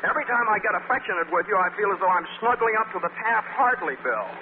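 A man's voice: sits high, lively intonation. An actor playing a flippant, wisecracking man reporter in 1940s radio acting style, on a tinny, hissy broadcast. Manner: quick, wry, joking banter.

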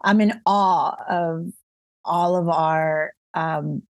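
Middle-aged woman from Colombia, interview guest, emotional, reflective, laughs often, slow-paced, dry sound. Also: on the low side, fairly even intonation, clear, resonant tone, no echo. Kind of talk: casual interview.

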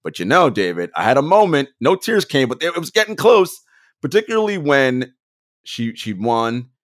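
A man co-hosting a sports podcast, telling stories in an animated, casual way and laughing often. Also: mid-range voice, moderate pace. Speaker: podcast co-host.

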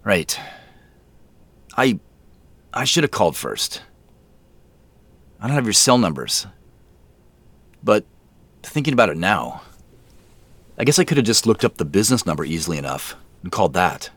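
Audio drama role: polite, hesitant man, low register, reserved and deferential, close dry recording. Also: big pitch swings, moderate pace, long pauses, fairly bright tone, no room sound. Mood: awkward, apologetic. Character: reserved, polite grown man visiting old family friends. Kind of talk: naturalistic audio drama dialogue.

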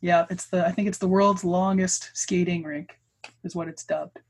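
A woman from Canada with a Canadian accent, co-hosting a casual podcast, upbeat and agreeable with quick laughs. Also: on the low side, steady tone, darkish tone, breathy edge. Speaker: podcast co-host.

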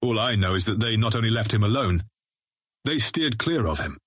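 A man's voice: lowish voice, animated, moderate pace, a bit bright, breathy edge, no room sound, clean studio sound. A man playing a grave, terse ranger in a fantasy audiobook drama, speaking in clipped, formal phrases. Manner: clipped, solemn, formal speech.

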